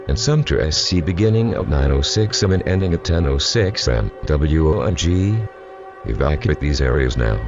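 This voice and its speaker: Deep male alert announcer, dull lo-fi sound. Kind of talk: scripted emergency warning readout.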